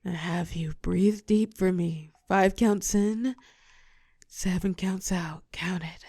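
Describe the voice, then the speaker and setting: A woman playing a telepathic villain and former hero in a roleplay audio drama, protective and sharp-tongued, with a breathy voice that often drops to a whisper. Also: lowish voice, no room sound, clean studio sound, exaggerated voice. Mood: tender, soothing. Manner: slow, commanding yet tender coaching.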